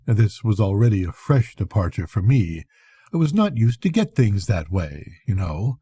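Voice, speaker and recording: An older man, a grandfather, reading a literary bedtime story aloud in an unhurried, conversational storytelling manner with a husky voice. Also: lowish voice, sing-song, dark tone, dry recording, clean studio sound. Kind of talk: expressive literary audiobook reading.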